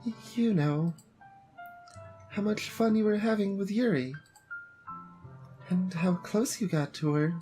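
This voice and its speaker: Fragile, slow-talking teenage girl played by a woman. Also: medium pitch, dark tone, faint music behind, natural voice, low-quality recording. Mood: wistful. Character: sweet, fragile teenage anime girl hiding heartbreak. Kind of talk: visual novel character voice-over.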